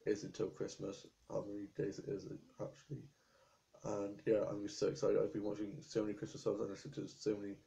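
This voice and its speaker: A talkative young man from England chats into his webcam in a small room, listing everything he's been up to. His voice is low and gravelly with a dark, flat tone, and his throat is a little sore. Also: poor audio. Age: young adult. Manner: chatty, rambling, stream of lists. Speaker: vlogger. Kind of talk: casual chat to camera. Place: small indoor room with appliances. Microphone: webcam at desk.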